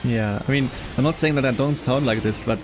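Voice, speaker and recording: A young man from Germany with a German accent, streaming a game and chatting casually with viewers, musing out loud. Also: medium pitch, fairly quick. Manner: musing, thinking aloud. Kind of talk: casual chat with viewers while gaming. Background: game music.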